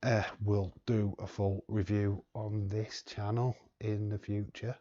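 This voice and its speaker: A man's voice: fairly low pitch, little pitch movement, slow pace, dark tone, highs cut off. A man hosting a live watch-along stream, chatting casually and reading the chat aloud in a rambling way. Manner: rambling, reads chat aloud, chuckles often.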